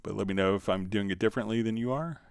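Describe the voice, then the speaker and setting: Guy with a lowish clean voice doing a casual livestream tutorial, thinks out loud, dry close sound. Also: fast talker, gravelly, smooth, no room sound. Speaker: host of a music software live stream. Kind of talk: casual live tutorial, answering viewer questions.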